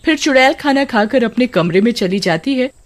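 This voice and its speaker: A woman's voice: a little high, animated, normal speed. A woman storyteller giving scripted narration of a horror tale.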